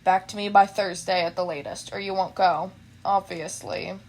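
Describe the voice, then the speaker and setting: A woman voicing a tired, gruff male teacher who speaks curtly and does not care. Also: medium pitch, normal speed, voice pushed down, close to an everyday voice. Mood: weary, dismissive. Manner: flat, curt, unbothered.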